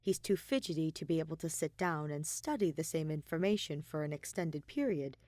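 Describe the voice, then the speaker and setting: A woman reading a piece of fiction aloud as an expressive, emphatic narrator. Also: low voice, brisk, no echo, studio quality. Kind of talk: expressive scripted story narration.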